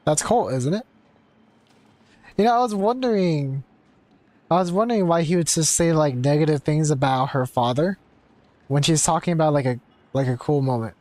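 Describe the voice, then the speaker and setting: A young man streaming a game, chatting casually in slangy, halting commentary. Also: a little high, a little mellow, no echo. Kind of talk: casual live gaming commentary.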